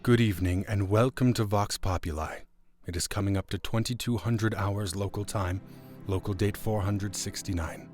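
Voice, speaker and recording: A man's voice: fairly low pitch, fairly even intonation, normal speed, music in the background, natural voice. A man playing a grave, formal radio news anchor in an audio drama.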